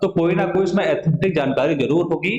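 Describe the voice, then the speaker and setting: A man's voice: a little high, fast, a little mellow, dull audio. A man giving an explanatory exam-prep lesson to students as a coaching teacher, repeating phrases and answering his own questions. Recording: echoey room.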